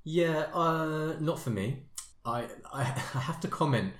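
A man's voice: fairly high pitch, expressive, takes it slowly, fairly bright tone. A man hosting a podcast in a casual, conversational way. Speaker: podcast host. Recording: echoey room sound.

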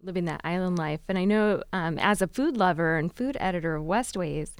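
A woman's voice: fairly low pitch, fairly quick, clear, resonant tone, no echo. A woman hosting a travel interview podcast, moving between scripted introduction and conversational questions. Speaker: podcast host.

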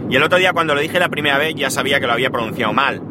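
A man's voice: medium pitch, racing, very noisy. A man with a Spanish accent from Spain, talking through a casual tech podcast in a chatty, rambling way, with lots of fillers and self-corrections. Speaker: podcast host.

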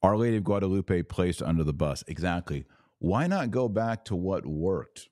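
A man's voice: sits low, animated, moderate pace, a little mellow, dry recording, studio quality. A man hosting a podcast. He reads out viewer comments, riffs on them with sarcasm, and turns preachy when he makes his point. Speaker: podcast host.

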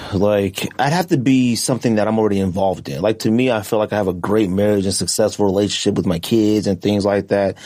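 A man's voice: lowish voice, darkish tone. A middle-aged man co-hosting a casual podcast, answering listener questions in a laid-back, joking way, with slang like "fam". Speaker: podcast co-host.

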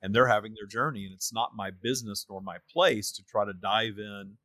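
Male host, lower register, bright forceful tone, earnest and emphatic, conversational spiritual talk. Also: brisk, no room sound, studio quality. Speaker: podcast host and teacher of a spiritual practice.

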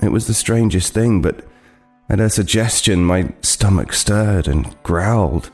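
Low brooding homeless man narrating audio horror drama, halting and uneasy, music behind. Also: a little mellow. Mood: haunted, halting. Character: haunted, uneasy homeless man telling his tale. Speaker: narrator and lead actor.

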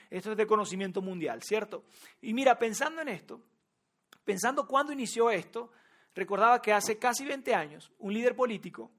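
A man's voice: sits high, fairly bright tone. A man from Venezuela with a Venezuelan accent, preaching a sermon to a congregation, talking to them directly and repeating his key phrases for emphasis. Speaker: pastor.

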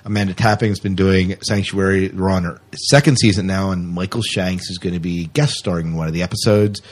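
A man's voice: low voice, fairly even intonation, a little fast. A man hosting a podcast, chatting casually and giving his opinions freely. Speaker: podcast host. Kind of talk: casual science fiction news chat.

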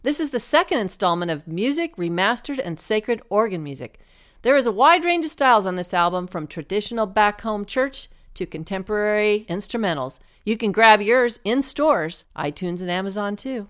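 A woman's voice: medium pitch, animated, moderate pace, a bit bright. Woman reading a scripted sponsor spot. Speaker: sponsor announcer. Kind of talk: scripted ad read.

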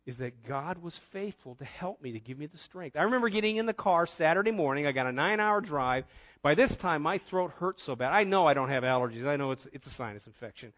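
A man's voice: fairly high pitch, expressive, quick pace, muffled recording. A middle-aged man preaching a conversational sermon, telling a personal story in an earnest way and often repeating words as he starts a phrase.

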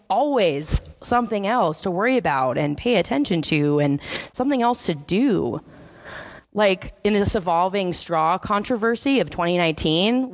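Wry woman preacher, low-pitched voice. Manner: conversational, wry, self-deprecating. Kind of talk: sermon. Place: church sanctuary.

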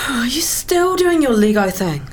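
A woman's voice: a little high, big pitch swings, slow, exaggerated voice. A woman playing a weary, irritable wife and mother in a radio play, speaking curtly and reproachfully. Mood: tired, terse.

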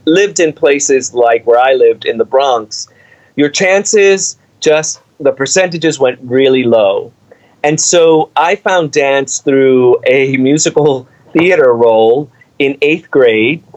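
Middle-aged man telling his story. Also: medium pitch. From Cuba. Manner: warm storytelling, animated, with frequent fillers. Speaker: artistic director of a dance company. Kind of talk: conversational interview answers.

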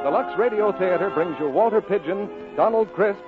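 A man's voice: sits high, normal speed, narrow, tinny audio. A man making grand, projected announcements in 1940s radio style. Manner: declamatory, projected. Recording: thin old radio broadcast over music.

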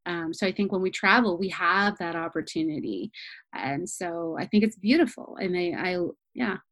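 A woman guest on a podcast, talking in a lively, casual way, restarting her sentences often. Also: lowish voice, normal speed, no room sound. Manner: animated, restarts sentences, many fillers. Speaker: travel journalist guest.

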